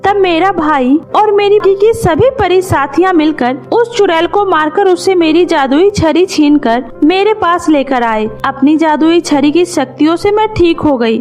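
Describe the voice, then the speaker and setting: Woman voicing a gentle, high-voiced fairy mother. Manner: earnest, reassuring. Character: grateful, gentle fairy mother with magic wand.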